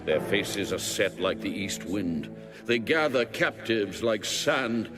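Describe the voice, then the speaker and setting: An actor playing a stern, majestic God who solemnly declaims judgment in a dramatized audio Bible. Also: male voice, on the low side, slow pace. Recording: music bed under the voice.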